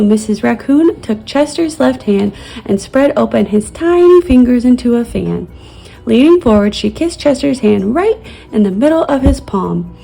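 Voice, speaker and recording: A woman reads a children's story gently, as a teacher would to her young pupils. Her voice is fairly high and mellow, with husky creak, over soft music. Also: rough recording. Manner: gentle, child-directed, soothing. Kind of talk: children's storybook read-aloud.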